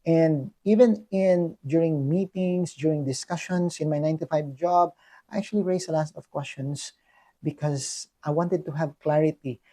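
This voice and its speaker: A man's voice: on the high side, moderate pace, mellow. A young adult man from the Philippines with a Filipino accent, talking earnestly in a personal reflection vlog, full of ums and restarts. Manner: many fillers and restarts, earnest. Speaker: vlogger.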